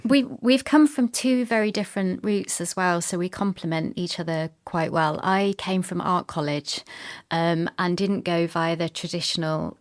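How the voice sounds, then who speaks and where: A woman's voice: lowish voice, moderate pace. A middle-aged woman talking conversationally as an interview guest. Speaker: guest, sound designer and producer.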